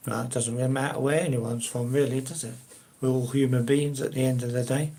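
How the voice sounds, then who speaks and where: An older man with a Cockney-ish South London accent chats with his viewers on a live stream. He talks casually at a mid pitch, with a hesitant, rambling manner, many fillers and restarted sentences. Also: little pitch movement, brisk, mellow.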